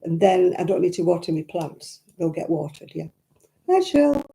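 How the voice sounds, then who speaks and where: Older woman, croaky and dark-toned, chatty rambling livestream talk, crackly mic. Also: lowish voice, expressive, normal speed. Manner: chatty, rambling, greets viewers. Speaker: crafting livestream host. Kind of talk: casual chat to live viewers. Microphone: own simple mic, live stream. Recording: crackly audio.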